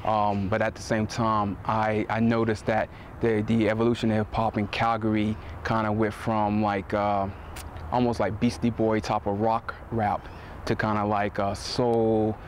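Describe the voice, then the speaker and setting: A man's voice: fairly low pitch, flat delivery, measured pace, a little hiss or hum. A man speaking thoughtfully and measuredly as an interviewee, outdoors in a city. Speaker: hip hop interviewee. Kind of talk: casual interview talk.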